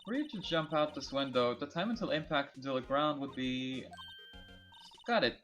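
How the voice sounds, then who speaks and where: A man's voice: mid-range voice, normal speed, a bit bright, soft background music. A man with a Portuguese accent joking around as a guest on a game stream, playful and teasing. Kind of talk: casual banter on a stream.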